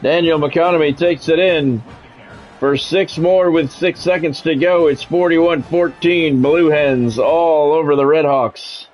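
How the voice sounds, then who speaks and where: Male game streamer, fairly high register, sportscaster-style calls with salty off-the-cuff commentary, music in the background. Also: steady tone, a little slow, darkish tone, poor audio. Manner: announcer-style delivery with wry, grumbling, profane asides. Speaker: gaming streamer doing play-by-play commentary. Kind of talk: sports play-by-play commentary mixed with casual asides.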